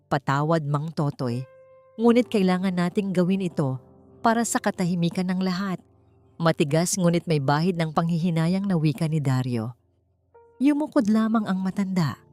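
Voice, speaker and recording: A woman narrating a scripted dramatic story in a measured, formal storytelling manner. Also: sits low, a little mellow. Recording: music behind the voice.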